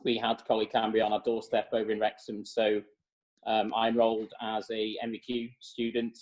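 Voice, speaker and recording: A man's voice: lowish voice, completely flat delivery, moderate pace, a little mellow, low-quality recording. A man, answering interview questions steadily on a video call, with many fillers. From North Wales. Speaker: executive chef, guest.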